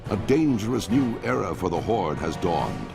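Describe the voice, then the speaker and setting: Deep, solemn epic fantasy narrator. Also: male voice, very animated, moderate pace, creaky, music in the background, full character voice. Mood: stern, portentous. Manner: grave, portentous, theatrical storytelling. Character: solemn, commanding male storyteller of an epic fantasy war saga. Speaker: cutscene narrator. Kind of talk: dramatic scripted fantasy game narration.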